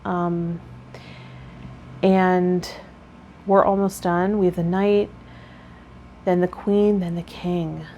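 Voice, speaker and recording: A woman's voice: on the low side, level tone, slow pace, pauses often, mellow, highs cut off. A woman speaking as a tarot vlogger and guide, explaining and chatting directly to viewers. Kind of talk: reading card meanings, then casual chat to viewers. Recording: clear background noise.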